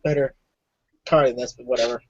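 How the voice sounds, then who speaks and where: A man's voice: medium pitch, fairly even intonation, fairly quick, husky, dry recording. Sarcastic man at the table. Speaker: player. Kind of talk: wry table talk. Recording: dry dull lo-fi mic.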